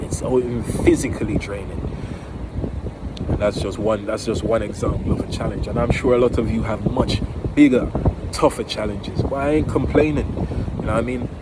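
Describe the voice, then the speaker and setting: A man with a Jamaican accent giving an earnest, emphatic, informal motivational talk outdoors, repeating key phrases. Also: on the low side. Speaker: motivational vlogger. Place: outdoors near home by the water. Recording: very noisy outdoors, wind on the microphone.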